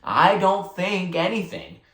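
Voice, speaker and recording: A reader voicing a theatrical, bossy young boy, swooping pitch. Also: very animated, slow, a bit bright, reverberant. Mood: coaxing, mysterious. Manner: solemn chanting, mock-innocent persuasion. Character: bossy, theatrical boy playing high priest, smooth schemer.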